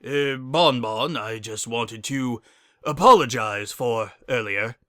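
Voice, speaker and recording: An awkward noble father pony from a cartoon storybook, voiced by a man. He stammers and hesitates apologetically in a bright, dry voice. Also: mid-range voice, slow, no echo. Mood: sheepish.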